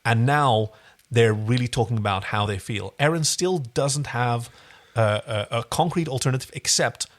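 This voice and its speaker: Male podcast co-host, mid-pitched, enthusiastic, conversational recap style. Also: normal speed. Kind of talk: casual episode recap commentary.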